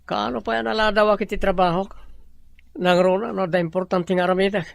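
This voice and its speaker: An actor with a Filipino accent playing a businesslike, easily exasperated boss with a troubled conscience in a radio drama. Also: male voice, sits high, flat delivery, a little fast, vocal fry, no echo. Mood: brisk, suspicious.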